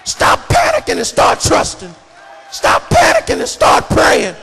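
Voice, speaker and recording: A man's voice: very high-pitched, huge pitch swings, a little slow, vocal fry, bright, forceful tone, shouting, soft background music, some chatter behind. A man preaching a fervent sermon in an emphatic, repetitive cadence that leans on repeated phrases. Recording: echoey hall reverb with congregation noise.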